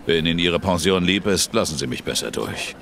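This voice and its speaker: A man playing a smug, menacing gentleman in a radio drama, cool and condescending. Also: sits low, expressive, brisk, vocal fry, a little hiss or hum, exaggerated voice. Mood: smug, threatening. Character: smug, menacing gentleman bluffing past a policeman.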